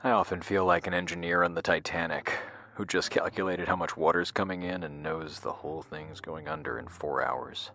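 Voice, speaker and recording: A man playing a grave scientist in scripted audio drama dialogue, speaking deliberately with hesitant pauses. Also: low voice, fairly even intonation, sharp tone. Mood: grave, confessional. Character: grave, secretive male scientist and his questioning double. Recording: music under the voice. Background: music.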